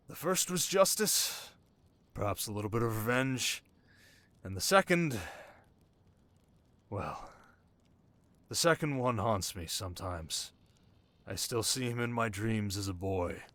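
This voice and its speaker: An actor playing a sharp, haunted young king in an intimate ASMR romance roleplay, talking wryly and dramatically to his listener. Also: fairly low pitch. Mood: haunted, grave. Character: young desert king, sharp, wry, haunted, teasingly tender.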